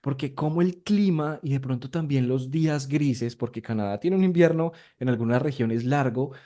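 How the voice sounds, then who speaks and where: A man's voice: mid-range voice, normal speed, warm tone. A man co-hosting a casual podcast in an ordinary mid-range voice. He asks the questions and often jumps in with enthusiastic remarks. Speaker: podcast co-host.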